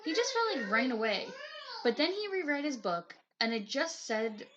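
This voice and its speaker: A woman narrating a children's picture book aloud in an expressive storytelling style. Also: sits high, normal speed, muffled recording, noisy background. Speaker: picture book reader, teacher.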